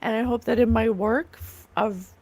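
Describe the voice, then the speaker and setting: Woman, fairly high voice, gravelly, slightly raw and rough, earnest, casual livestream host. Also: fairly even intonation, moderate pace, a little mellow. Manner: earnest, gushing about divine love. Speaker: psychic medium livestream host. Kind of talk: casual livestream chat reading comments.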